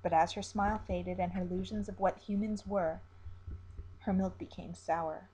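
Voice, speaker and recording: A woman storyteller reading a dark, dramatic tale as scripted narration. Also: fairly low pitch, steady tone, moderate pace, husky, low-quality recording.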